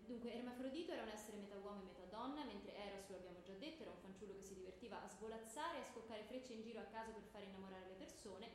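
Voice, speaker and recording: An actress playing a patient schoolteacher who explains and instructs in a didactic way in a comic stage play. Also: female voice, medium pitch, natural voice. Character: patient, didactic female schoolteacher running a mythology class. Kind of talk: comic stage acting as a lecture. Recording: very echoey room, faint background noise.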